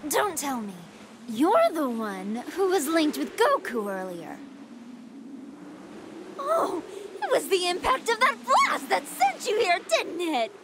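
A woman's voice: extremely high pitch, wildly swooping pitch, slow, background noise, heavily acted. A woman voicing a sweet-toothed, playfully cruel female android villain in an anime fighting game, with theatrical, taunting delivery. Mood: hungry glee.

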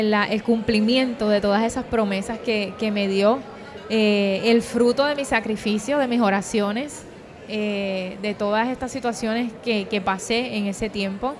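A young woman with a Puerto Rican accent giving an earnest, hesitant personal testimony in an interview, on a handheld microphone. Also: a little high. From Puerto Rico. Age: young adult. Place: convention booth. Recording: crowd chatter at event.

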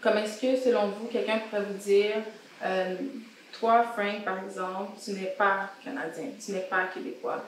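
A woman's voice: mid-range voice, steady tone, measured pace, a little hiss or hum. A young woman hosting a casual round-table discussion, guiding her guests with questions. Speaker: host and founder of a round-table show. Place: indoor salon with brick walls. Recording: echoey room.

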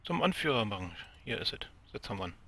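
A man's voice: lowish voice, sing-song, fast, bright, forceful tone. A man from the Potsdam area in Germany, chatting casually while gaming. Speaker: gamer in a squad.

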